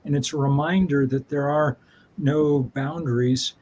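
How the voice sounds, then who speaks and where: A man's voice: a little high, fairly even intonation, a little slow, darkish tone, lo-fi sound, a little hiss or hum. A man speaking as a panelist on a video call, earnest and conversational, with restarts.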